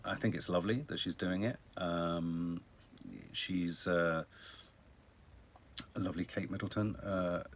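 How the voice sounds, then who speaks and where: A man's voice: low-pitched, steady tone, a little slow, pauses often. A British man hosting a casual live stream, chatting about the news in a rambling, wry way with dramatic emphasis. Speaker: online live-stream host. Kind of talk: casual news chat to viewers.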